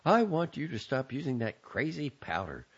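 A man's voice: mid-range voice, big pitch swings, normal speed, slightly rough, pitched above the performer's own voice. A man reading aloud and voicing a fussy, fretful middle-aged mother who scolds and worries. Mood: scolding. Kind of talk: audiobook character voice. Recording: dull lo-fi recording.

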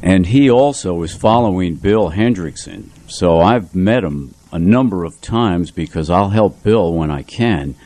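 Male radio host, deep and dark-toned, halting conversational delivery on a close studio mic. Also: animated. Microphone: close studio microphone.

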